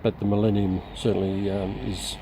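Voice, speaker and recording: A middle-aged man with an Australian accent gives an unscripted product demonstration as a reviewer, rambling hesitantly with frequent pauses. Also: lowish voice, flat delivery. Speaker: radio reviewer. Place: outdoors in a backyard. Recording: clearly noisy outdoor camera recording.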